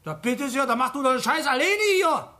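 An actor playing an irritable, grumbling village police chief in a radio drama, gruff and exasperated. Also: male voice, very high voice, expressive, brisk, bright, forceful tone, exaggerated voice. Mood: angry, exasperated.

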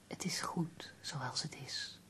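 An actress playing a gentle, devoted young woman in a radio drama, speaking softly and breathily to soothe her lover. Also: takes it slowly, whispered. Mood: soft, soothing. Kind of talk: intimate radio drama acting.

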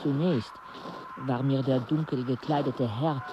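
An actor playing a weary, anxious mountain traveller in a radio play, speaking and narrating hesitantly. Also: male voice, medium pitch, steady tone, close to an everyday voice. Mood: grave, uneasy. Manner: slow, hesitant, deliberate delivery. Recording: noisy, slightly muffled outdoor soundscape.

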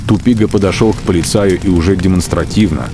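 A man narrating a literary radio drama in measured, emphatic short phrases. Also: low voice, background noise. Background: music under the narration.

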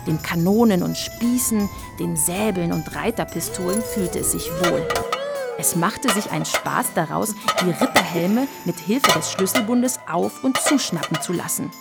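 A woman's voice: on the low side, big pitch swings, a little slow, creaky, over loud music, heavily acted. A woman narrator reading a scripted children's story in a radio play.

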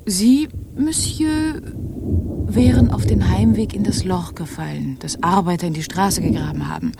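An actress playing a coy, whimsical young Parisian mademoiselle in a radio crime drama. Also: female voice, fairly low pitch, moderate pace, gravelly. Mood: wry, composed. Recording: noisy, with rain and thunder.

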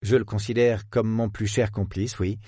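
A man's voice: fairly low pitch, fairly even intonation, warm tone, dry recording, studio quality, natural voice. A man doing a courteous detective. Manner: measured and courteous. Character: polite, witty male detective in his prime.

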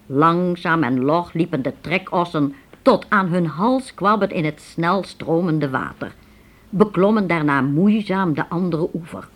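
Expressive woman narrator, very lively pitch. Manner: measured, expressive. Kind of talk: scripted dramatic storytelling narration for a radio play.

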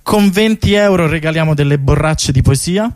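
A man's voice: fairly high pitch, moderate pace, no echo. A man talking informally and colloquially as a guest in a radio studio, explaining things in a chatty way. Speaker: radio guest, artist presenting a bike tour project. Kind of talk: animated explanation in casual radio interview.

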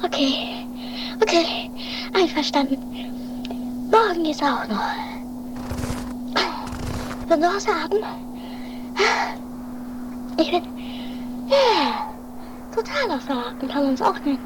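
A woman's voice: sits high, sing-song, takes it slowly, pauses often, full character voice. A woman playing a stubborn, eager young daredevil in an improvised radio play, whiny and insistent in manner. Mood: excited, breathless. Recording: noisy amateur 1980s radio play recording.

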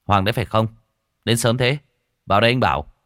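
A man's voice: low-pitched, fairly quick, fairly bright tone, dry recording, clean studio sound. A man voicing an easygoing, reassuring boss who talks familiarly to a younger colleague in an audiobook. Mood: brisk greeting.

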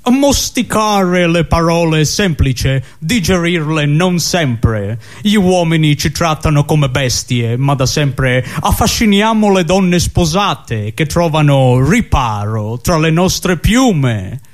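Man playing an odd owl with swooping pitch. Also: animated, slow, hardly any pauses. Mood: smug, seductive. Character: odd male owl, earnest, literal-minded, uneasy seducer. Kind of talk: absurdist radio comedy sketch.

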